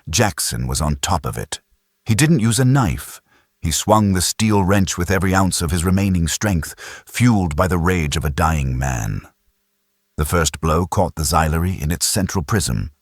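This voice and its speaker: A man narrates a sci-fi audiobook in an extremely low, husky voice. His delivery is grave and dramatic, with lively swings in pitch and an intense storyteller's phrasing. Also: no room sound, clean studio sound.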